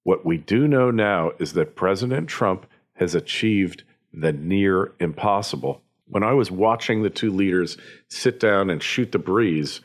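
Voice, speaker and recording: Deep-voiced American man giving commentary. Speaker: podcast host and political commentator. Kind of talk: opinion commentary, partly scripted monologue.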